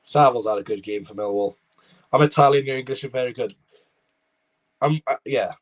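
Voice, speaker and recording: A young man with a Welsh accent talks through a football match on a live stream. His commentary is casual and loose. He drifts between the action on the pitch and replies to his chat. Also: moderate pace. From Wales. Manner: rambling, chatty, reads viewer comments aloud.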